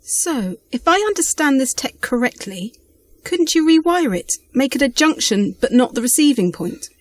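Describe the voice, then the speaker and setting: A woman's voice: high voice, very animated, moderate pace. A woman playing an eager, clever, trusting young companion in an audio drama, earnest and inquisitive. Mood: curious, earnest.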